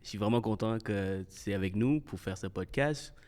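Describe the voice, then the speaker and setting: A man hosting a podcast. He talks casually and haltingly, restarting his sentences and slipping in English words. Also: on the low side, a little mellow. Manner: halting and hesitant, many restarts, laughs often, mixes in English. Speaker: podcast host.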